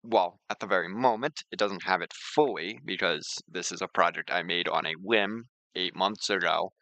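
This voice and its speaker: Bright, low-voiced man explaining software. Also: normal speed, no room sound, rough recording. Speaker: software tutorial presenter. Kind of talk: informal screen-share tutorial.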